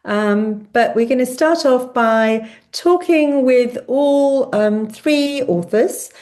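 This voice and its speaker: A woman's voice: a little high, takes it slowly. A woman hosting a virtual book launch, speaking with frequent ums and restarts. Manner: warm-up host, hesitant with many ums. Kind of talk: hosting an online book event.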